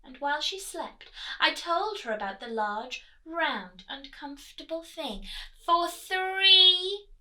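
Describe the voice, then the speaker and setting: A woman reading a children's storybook aloud in an expressive, dramatic way, as if to young listeners. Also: sits high, takes it slowly. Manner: dramatic, asks listeners questions, drops to whispers. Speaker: storytime reader, teacher.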